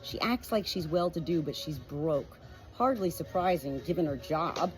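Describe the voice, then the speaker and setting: A woman's voice: deep voice, lively intonation, normal speed, soft background music, poor audio. A woman voicing an exasperated, blunt shepherdess defending herself in a storybook. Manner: exasperated, hissing when alarmed.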